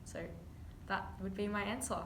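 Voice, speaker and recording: Earnest young woman, bright voice. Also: medium pitch, fairly even intonation, normal speed, reverberant, a little hiss or hum. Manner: earnest, with many fillers. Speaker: medical student. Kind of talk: practice interview answer.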